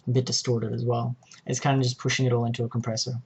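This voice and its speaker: A man narrating an informal software tutorial, explaining each step in a hesitant way. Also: mid-range voice, little pitch movement, quick pace, vocal fry, husky. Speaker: tutorial presenter.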